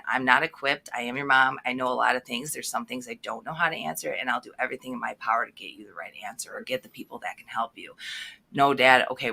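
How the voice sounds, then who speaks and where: Female guest, earnest single mom, bright tone with vocal fry. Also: rumbling low. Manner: earnest, emphatic. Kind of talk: casual chat.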